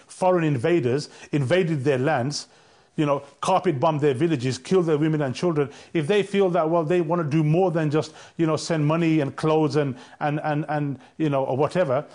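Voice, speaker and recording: Interviewee, male, fairly high voice, casual and rambling. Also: darkish tone. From England. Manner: casual, lots of you know, stumbles.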